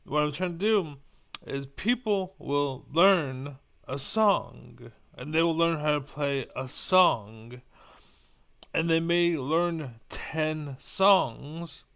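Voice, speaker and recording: Rambling man with a smooth voice. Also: on the high side, a bit bright. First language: Spanish. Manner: rambling, blunt, swears casually. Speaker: vlogger. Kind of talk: rambling casual monologue.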